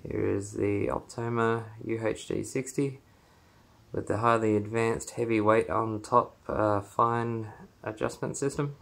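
A man speaks in a low, creaky voice at a slow, unhurried pace. He talks casually with frequent fillers and pauses as he walks a phone camera around his small basement room. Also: steady tone, a bit bright. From Australia. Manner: unhurried, halting with many fillers. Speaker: home theater owner giving a room tour. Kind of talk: casual walkthrough narration. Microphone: handheld phone camera, filming while walking.

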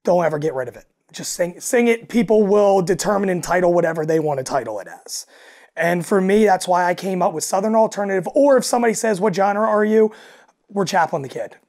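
Raspy man chatting on a podcast. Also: sits high, brisk, darkish tone. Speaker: guest, alternative country singer and frontman. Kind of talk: casual podcast chat.

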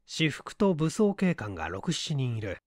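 A man's voice: on the high side, big pitch swings, measured pace, darkish tone, no room sound, clean studio sound. A man reading literary fiction aloud as scripted audiobook narration. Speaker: audiobook narrator, stage actor.

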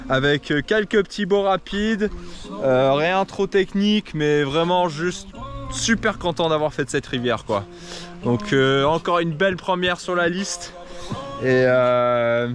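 A young man vlogging outdoors by a river after a descent, chatty and upbeat with many fillers, on an action camera at arm's length. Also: sits high. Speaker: kayaker vlogger. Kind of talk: casual chat. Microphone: action camera held at arm's length.

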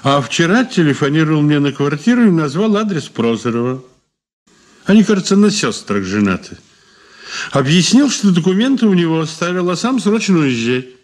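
A man's voice: fairly high pitch, very animated, normal speed. An actor in a Soviet radio drama playing a sardonic suspect who muses and taunts his questioner slyly. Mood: evasive. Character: male detained suspect, sardonic, philosophizing, taunting.

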